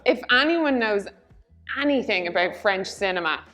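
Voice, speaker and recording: A woman with an Irish accent chats casually in a group. Her voice sits at an ordinary pitch and her manner is blunt and joking. Faint music plays behind her. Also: animated, moderate pace, fairly bright tone. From Ireland. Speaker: panel participant.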